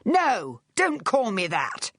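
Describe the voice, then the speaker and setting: Storybook villain, played by a woman: frail, unhinged, vengeful man, snarling in breathy rushes, dry close sound. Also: sits high, wildly swooping pitch, a bit bright, no echo, voice pushed up, heavily acted. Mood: anguished, bitter. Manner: snarling, furious breathy rush. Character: deranged, bitter, frail man bent on revenge.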